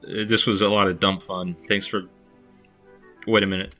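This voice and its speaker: A man's voice: sits low, little pitch movement, normal speed, sharp tone. A man giving informal, unpolished spoken commentary as a video creator, in a self-deprecating way. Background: faint music.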